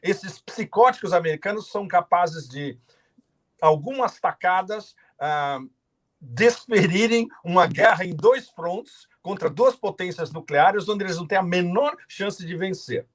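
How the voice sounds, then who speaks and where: A man with a husky, fairly high voice that swings widely in pitch, talking over a video call. He speaks with passion, stresses his points hard and often stumbles and restarts mid-phrase. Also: expressive, a bit bright. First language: not Portuguese; says he no longer speaks it. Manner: passionate, halting restarts, emphatic. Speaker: guest commentator.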